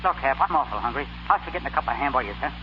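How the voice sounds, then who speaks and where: An actor playing a dim, hungry, pugnacious prizefighter with a New York accent in a 1930s radio comedy, slangy and simple-minded. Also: male voice, medium pitch, lively intonation, very fast, close to an everyday voice. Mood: agreeable, slow-witted. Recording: scratchy, noisy 1930s radio broadcast, dull.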